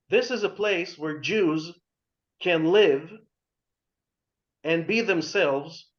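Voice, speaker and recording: Guy in his 40s, kinda high voice, pushes his point hard, lots of restarts, dull call audio. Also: a bit bright. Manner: emphatic, repeats words, many restarts. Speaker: panelist. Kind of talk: opinionated discussion on a video call. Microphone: video call. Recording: muffled video call audio.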